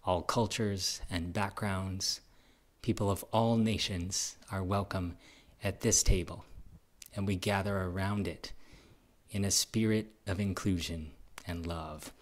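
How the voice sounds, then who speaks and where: A man speaking as a pastor, inviting a congregation in measured, deliberate, plain-spoken phrases. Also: low voice, steady tone.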